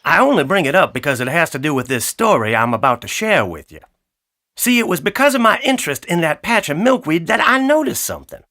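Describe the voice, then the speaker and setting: A man voicing a friendly, wry blackbird who tells a children's story in a folksy, chatty, expressive way. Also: a little high, full character voice. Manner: folksy, expressive, addresses listener directly. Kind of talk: animated children's audiobook storytelling.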